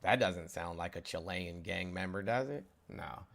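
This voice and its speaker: A man's voice: low voice, moderate pace, vocal fry, a bit bright. A man in his forties hosting a heated live-stream debate, lecturing a caller in a condescending way with many restarts. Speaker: host.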